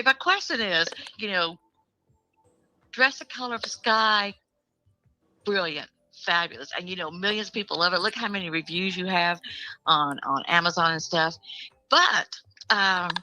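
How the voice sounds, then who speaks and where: A woman's voice: fairly low pitch, animated, moderate pace, long pauses, pushed and bright, poor audio. A woman with a New York accent calling in to a talk show, chatty and playful as she asks her question. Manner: chatty and playful, laughing often. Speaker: caller. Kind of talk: call-in question to a guest.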